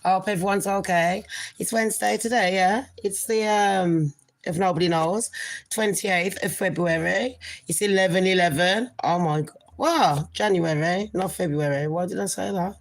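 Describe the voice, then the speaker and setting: A woman's voice: fairly low pitch, normal speed. A woman with a nasal voice, hosting a casual gossip livestream and chatting in a rambling way to her viewers. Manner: chatty, rambling, calls viewers people and darlings. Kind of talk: casual live chat to viewers.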